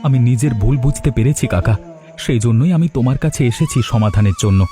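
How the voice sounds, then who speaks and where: A man's voice: medium pitch, lively intonation, fast talker, dark tone, faint music behind, natural voice. A man doing an earnest timid young villager. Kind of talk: cartoon voice acting.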